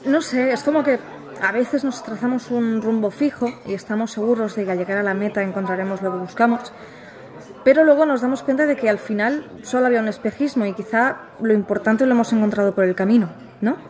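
A woman's voice: fairly high pitch, fairly quick, darkish tone, lightly acted. Actress as guarded Spanish woman amid café chatter. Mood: reflective, sincere. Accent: Spain. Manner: hesitant, guarded, sincere. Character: reticent, guarded former researcher, a young mother. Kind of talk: naturalistic audio drama interview. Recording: busy café chatter behind. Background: café crowd.

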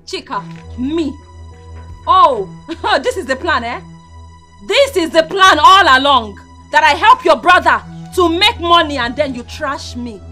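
A woman's voice: high-pitched, lively intonation, measured pace, pushed and bright, exaggerated voice. A woman playing a fiery, betrayed Nigerian girlfriend, confrontational and threatening in a heated film drama. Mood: indignant. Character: fiery, betrayed young woman refusing to be trashed. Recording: faint music underneath.

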